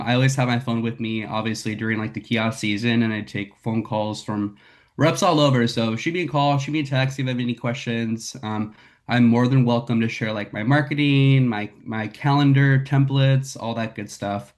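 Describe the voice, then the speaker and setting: Casting: young guy, a little raspy from a cold, easygoing, loose with fillers. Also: medium pitch. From Northern California. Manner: candid, filler-heavy, says "like" and "um" a lot. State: raspy from getting over a cold. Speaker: sales rep presenting to a team. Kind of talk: casual talk on a video call.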